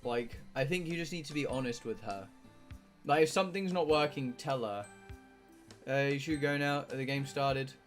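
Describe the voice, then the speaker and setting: A man's voice: medium pitch, moderate pace, soft background music. A British man live streaming a game, rambling casually to his viewers in a blunt, sweary, joking way. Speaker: live streamer playing a visual novel.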